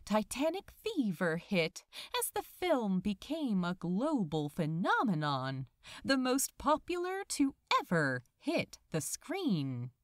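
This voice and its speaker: A woman reading fiction aloud as a dramatic audiobook narration, with an expressive, lingering delivery. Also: on the high side, no room sound, clean studio sound.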